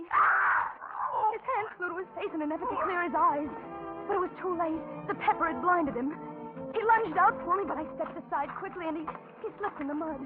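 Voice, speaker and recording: An actress playing a frightened young carhop, halting and melodramatic, in a 1940s radio suspense drama. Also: female voice, very high-pitched. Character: frightened young carhop recounting her nightmare ordeal. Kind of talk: 1940s radio drama acting, first-person narration. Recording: tinny 1940s radio recording.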